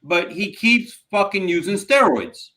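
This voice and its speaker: A man's voice: high voice, animated, unhurried, sharp tone. A man co-hosting a casual sports podcast, talking bluntly and emphatically with plenty of swearing. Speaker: podcast co-host.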